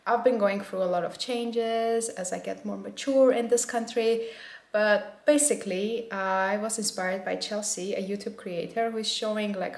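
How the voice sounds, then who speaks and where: A young woman in her mid-twenties from Armenia, chatting casually to the camera while she tidies her small apartment, a little nervous and reflective. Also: medium pitch. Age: mid-20s. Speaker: vlogger. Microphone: camera on a stand, some lines away from it.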